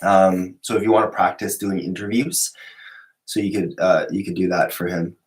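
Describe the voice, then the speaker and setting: A man with a cold hosting a casual live-stream Q&A, reading out viewer questions and answering in a relaxed, rambling way with lots of fillers and restarts. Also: low-pitched, normal speed, rough recording. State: sick, voice lower than usual.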